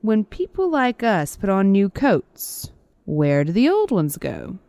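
Sly storybook rabbit read by a woman, mock-thoughtful philosopher, lively rise and fall. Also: medium pitch, takes it slowly, dry recording, pitched above the performer's own voice, full character voice. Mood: musing. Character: cunning male rabbit trickster, mock-philosophical.

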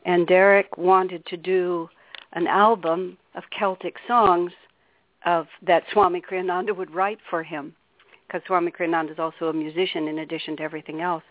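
An older woman spiritual teacher as a guest on a radio interview, calm and articulate, telling a story in a measured way. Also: fairly low pitch. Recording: dry, tinny phone line.

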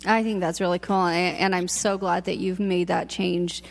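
Gravelly-voiced enthusiastic woman radio host. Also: lowish voice, level tone, moderate pace. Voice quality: loud, projecting voice. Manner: enthusiastic, chatty, laughs often. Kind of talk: radio interview.

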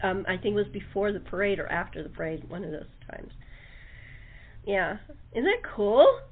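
A woman's voice: mid-range voice, sing-song, normal speed, slight background noise. A woman talk show host chatting casually in a chatty, enthusiastic way. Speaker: talk show host and radio-play producer. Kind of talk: casual chat. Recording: thin narrow phone-line audio.

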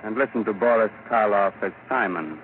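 A man narrating a 1940s radio horror show as announcer, sardonic, ominous and deliberate. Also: lowish voice. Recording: tinny, noisy 1940s radio recording.

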